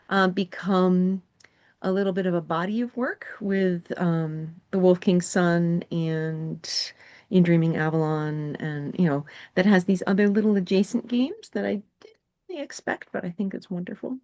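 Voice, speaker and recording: Halting New woman, lo-fi. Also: lowish voice, steady tone. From New England. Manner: halting, thinks aloud with many ums. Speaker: game designer guest. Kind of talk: casual interview chat. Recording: dull lo-fi sound.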